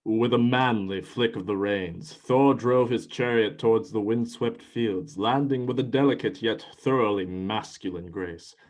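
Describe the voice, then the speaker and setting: A man's voice: lowish voice, measured pace, hardly any pauses, darkish tone. A man narrating a mythological play in a scripted, dramatic storytelling style.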